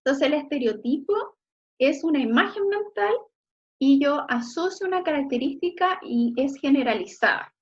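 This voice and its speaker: A Chilean woman teaches a class over a dull, lo-fi connection. Her voice sits fairly high, and she keeps checking that her students are following. She praises their examples warmly and often. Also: lively intonation, moderate pace. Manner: frequent checking "¿ya?" tags, encouraging. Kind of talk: online class lecture.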